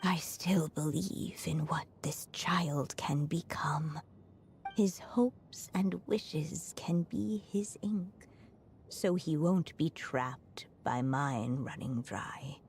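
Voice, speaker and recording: An actress playing a gentle, earnest mother and writer in a video game, speaking softly and in measured phrases. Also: low-pitched, a little hiss or hum, heavily acted. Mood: tender, resigned. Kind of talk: scripted video game voice acting.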